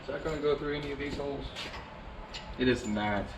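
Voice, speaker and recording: A man narrating a DIY vehicle install in a relaxed, casual way, talking to himself as he works. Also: medium pitch, fairly quick, background noise. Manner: laid-back, talks to himself while working. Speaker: DIY vlogger. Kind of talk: casual how-to narration while working. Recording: roomy, distant, dull camera audio.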